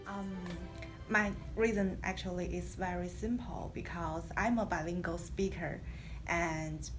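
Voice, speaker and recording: A woman's voice: fairly low pitch, steady tone, a little slow, over music. A woman whose first language is Chinese, speaking English hesitantly with restarts in a student presentation. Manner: hesitant, restarts, non-native grammar. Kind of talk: casual spoken presentation.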